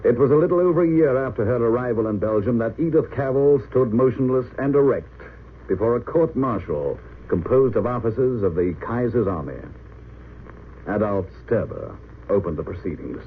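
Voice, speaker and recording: A man's voice: mid-range voice, animated, moderate pace. A man narrating an old radio drama in a grave, theatrical, formal announcer's style. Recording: noisy narrow-band old radio recording.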